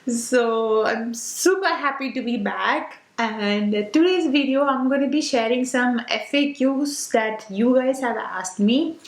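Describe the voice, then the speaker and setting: A woman's voice: on the high side, takes it slowly, hardly any pauses. A woman in her late twenties with an Indian English accent, a Tamil speaker, answering viewer questions in a casual vlog, talking loosely with lots of "uh" and "like". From South India. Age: 20s. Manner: chatty, many fillers like "uh" and "like". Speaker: beauty vlogger. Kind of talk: casual Q&A to camera. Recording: roomy echo.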